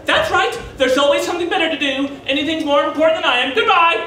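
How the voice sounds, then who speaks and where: A man's voice: extremely high pitch, big pitch swings, fast talker, pushed and bright, full character voice. A man voicing an aggrieved, self-pitying older mother who guilt-trips her son, indignant and haughty, in a stage monologue. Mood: martyred. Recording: echoey room, distant mic, faint noise.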